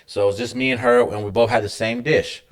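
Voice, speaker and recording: A man who works in a hospital, co-hosting a casual podcast and telling a story in animated, profane, slangy language. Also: mid-range voice, fairly quick. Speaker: podcast co-host; hospital medical worker.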